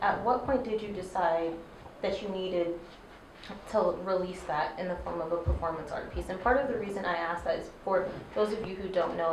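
A woman moderating a live artist talk, asking long, measured questions into a handheld mic. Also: on the low side. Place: small gallery room. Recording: roomy with noisy background.

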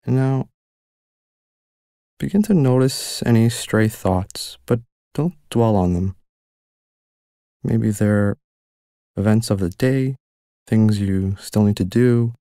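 Slow gravelly man guiding meditation. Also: on the low side, dry recording, clean studio sound. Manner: slow, gentle and soothing instructions. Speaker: meditation guide. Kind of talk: guided meditation.

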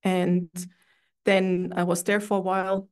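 A young woman from Austria, an English speaker, telling her story in a relaxed, chatty way as an interview guest over a video call from a room at home. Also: on the low side, a little slow, smooth. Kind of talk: casual interview chat.

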